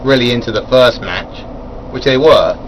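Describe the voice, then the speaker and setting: A man's voice: mid-range voice, normal speed, a little hiss or hum, low-quality recording. A man giving a casual, rambling spoken review of a wrestling event, full of fillers and chuckling at his own asides.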